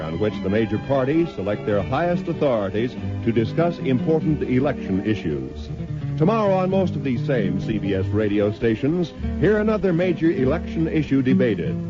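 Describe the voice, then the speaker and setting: An American man works as a 1950s network radio announcer. His delivery is formal and polished, with animated pitch. Music plays clearly behind him on a dull vintage recording. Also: moderate pace.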